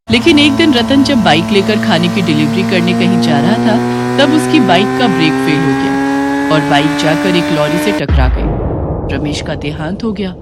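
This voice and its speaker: A woman reading a scripted moral story as its narrator. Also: medium pitch.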